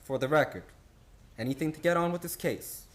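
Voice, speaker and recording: A man's voice: a little high, brisk, lightly acted. A man acting a pompous, exasperated prosecutor with formal oratory in a radio play.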